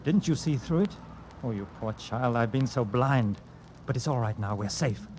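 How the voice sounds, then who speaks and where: An actor playing a smooth, controlling man in an old-time radio murder mystery. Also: medium pitch, expressive, moderate pace, a little mellow, natural voice. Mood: reassuring. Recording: noisy old radio recording.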